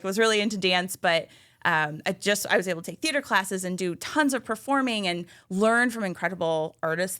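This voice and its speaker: A woman's voice: medium pitch, fairly bright tone. A woman in her thirties, a guest on a talk show, chatting casually and laughing easily about her work in the arts. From Western Massachusetts, raised in Michigan. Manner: chatty, laughs often, self-deprecating. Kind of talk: casual interview chat.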